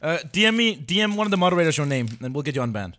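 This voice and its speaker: An excitable streamer reacting to videos and reading out donations, often laughing and repeating words. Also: medium pitch, racing, very noisy. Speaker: streamer reacting to fan dubs. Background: game video audio and music.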